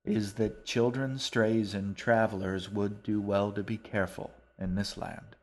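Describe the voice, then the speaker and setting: A man voicing a genial, wordy older gentleman in an audiobook, with formal, long-winded speech. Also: on the low side, little pitch movement, a little slow. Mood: kindly. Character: genial old bearded man, wordy and grand. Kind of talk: audiobook character voice.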